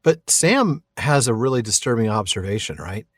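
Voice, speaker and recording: A man's voice: medium pitch, lively intonation, moderate pace, husky, no room sound. A man co-hosting a podcast, discussing books casually in an agreeable, thoughtful, conversational manner. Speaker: podcast co-host.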